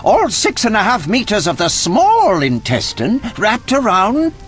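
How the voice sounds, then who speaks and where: An eccentric game alchemist, high-voiced and very animated. He speaks like a fussy scholar, precise and morbidly curious, over faint music. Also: a little slow, full character voice. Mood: pedantic, relishing. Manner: erudite, precise, relishing grisly detail. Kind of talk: video game dialogue acting.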